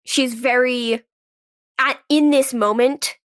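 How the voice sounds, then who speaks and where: Female interview guest, slightly high pitch, informal conversational delivery with frequent "like". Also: fairly even intonation, takes it slowly, fairly bright tone. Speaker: guest, actress and writer. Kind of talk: casual interview chat.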